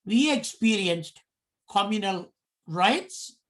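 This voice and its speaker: A middle-aged man from Sri Lanka with a Sri Lankan accent, giving a prepared public talk in slow, deliberate phrases. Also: sits high, animated, a bit bright. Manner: slow, deliberate, measured phrasing in short pieces. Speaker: commentator.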